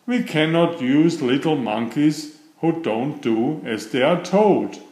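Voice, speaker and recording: A man's voice: on the high side, animated, slow pace, a little mellow, roomy sound. A man voicing a stern circus director in a children's storybook, scolding or praising with authority. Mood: angry, scolding.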